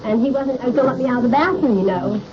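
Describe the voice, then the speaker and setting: A woman's voice: on the high side, quick pace. A middle-aged woman, nervous and shaken, giving an unscripted eyewitness account to police inside a house. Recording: muffled, noisy 1950s field recording.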